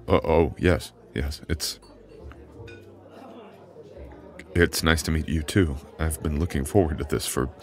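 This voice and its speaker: Very deep, gravelly immortal boyfriend acted by a man. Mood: flustered, hopeful.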